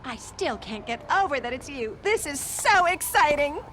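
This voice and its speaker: A woman's voice: sits high, lively intonation, normal speed, fairly bright tone, slight background noise. An actress playing a bubbly, motherly woman drug chemist in a video game, sweet and gushing.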